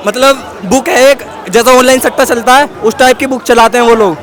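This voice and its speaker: A young man at a protest with a very high voice. He explains things in an earnest way and addresses the reporter as brother. The crowd is clearly audible around him. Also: fairly even intonation, fairly quick, fairly bright tone. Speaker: protesting student. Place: outdoor protest.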